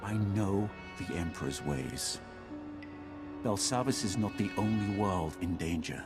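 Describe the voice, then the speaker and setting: An actor playing a grave, proud Sith lord in a video game, making measured, ominous declarations. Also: male voice, low-pitched, animated. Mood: urgent. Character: grave Sith lord defector, proud, coldly calculating seer. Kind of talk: dramatic video game acting. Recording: loud music behind the voice.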